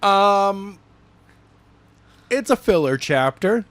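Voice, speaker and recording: Podcast guest guy, a bit high-pitched, dry close mic, blunt and teasing. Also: animated, extremely slow, a bit bright, no echo. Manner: blunt, teasing and matter-of-fact. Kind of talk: casual chat.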